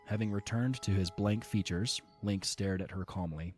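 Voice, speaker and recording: Man, narrator, fairly low voice, steady measured reading, audio drama, faint music behind.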